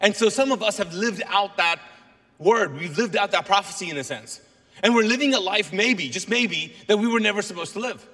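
A middle-aged man preaching to a congregation, his first language Urdu. His voice sits high and bright. It rings with a long reverb from a large church auditorium. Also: fairly quick. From Kuwait. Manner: lively, joking with the congregation. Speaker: guest pastor. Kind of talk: sermon.

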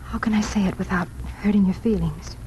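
An actress playing a sincere, conflicted young schoolteacher in a 1940s radio drama. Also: female voice, mid-range voice, steady tone, brisk, a little mellow, natural voice. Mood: pleading, troubled. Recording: noisy old 1940s radio recording.

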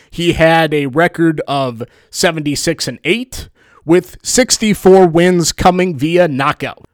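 A man hosting a solo podcast, previewing fights in a chatty, rambling way with a booming voice, often correcting himself. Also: no echo, clean studio sound. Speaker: podcast host. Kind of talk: solo sports preview commentary.

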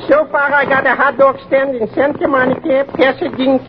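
A man's voice: very high voice, little pitch movement, a little fast. An actor playing a daffy, cheerful male hot dog vendor who chants sing-song jingles and mangles his words, in 1940s radio acting. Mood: chirpy. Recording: muffled old radio broadcast with hiss.

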